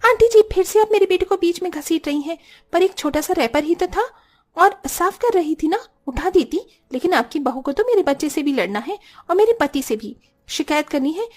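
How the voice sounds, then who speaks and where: A woman's voice: very high voice, animated, normal speed, darkish tone. A woman voicing a defensive, quarrelsome young housewife in a cartoon story, with a complaining, argumentative manner.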